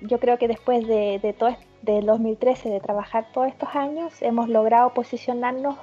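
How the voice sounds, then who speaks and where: Woman, interview guest, measured delivery, mellow tone, slightly muffled sound. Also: on the high side, fairly even intonation, breathy edge, faint music behind. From Chile, raised in northern Germany. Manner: measured and composed, with small restarts. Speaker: guest, regional trade representative. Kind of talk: interview answers.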